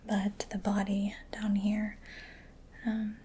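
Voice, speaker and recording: A woman performs a personal-attention ASMR roleplay in a breathy whisper, very close to the mic. Her tone is dark and soft, with little rise or fall as she murmurs gently and takes her time. Some ambient noise sits behind her. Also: mid-range voice, completely flat delivery, takes it slowly. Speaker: ASMR creator playing a robot mechanic. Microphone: close-up sensitive microphone.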